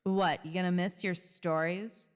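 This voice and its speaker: A woman's voice: fairly low pitch, fairly even intonation, unhurried, clear, resonant tone, dry recording, studio quality, faint music behind, lightly acted. A woman acting a defiant, wisecracking captive fugitive in an audio drama, casual and sarcastic.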